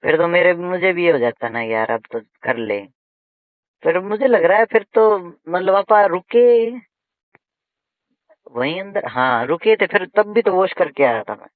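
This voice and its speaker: A man's voice: high voice, expressive, measured pace, no echo. A man chatting casually and intimately on a recorded phone call, colloquial and teasing, often repeating his phrases.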